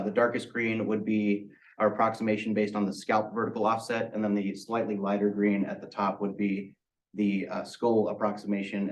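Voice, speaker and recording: A man with a fairly low voice walks through a technical presentation. He explains methodically, one step after another, and sounds set back from the microphone. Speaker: student presenter. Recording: distant microphone pickup.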